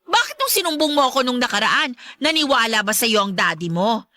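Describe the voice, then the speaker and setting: A spiteful Filipino stepmonster in a radio drama. Her high, bright voice swings widely as she condescends and taunts with theatrical sweetness. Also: sing-song, a little fast, dry recording, clean studio sound. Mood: smug, taunting. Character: spiteful, brazen stepmonster girlfriend of a widowed father.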